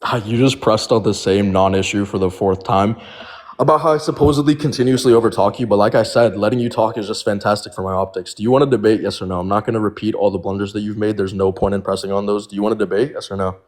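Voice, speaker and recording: A man's voice: fairly low pitch, steady tone, quick pace, darkish tone. A man in a heated online debate, aggressive and insulting, pushing his opponent for a yes or no.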